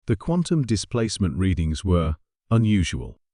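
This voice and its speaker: Low, mellow man narrating science fiction. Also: huge pitch swings, moderate pace, no echo, studio quality. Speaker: story narrator.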